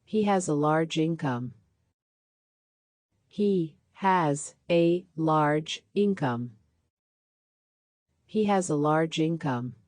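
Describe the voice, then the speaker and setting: A woman reading practice sentences aloud for a language lesson, saying each one three times with a slowed repeat. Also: low-pitched, darkish tone, no echo. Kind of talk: slow scripted sentence drills.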